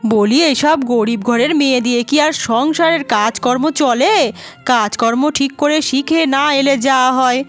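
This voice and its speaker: Casting: actress as older village mother-in-law for cartoon, high voice, scolding, sarcastic, contemptuous; faint music underneath. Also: measured pace. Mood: scornful taunting. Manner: taunting, scolding, sarcastic rhetorical questions. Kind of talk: cartoon voice acting.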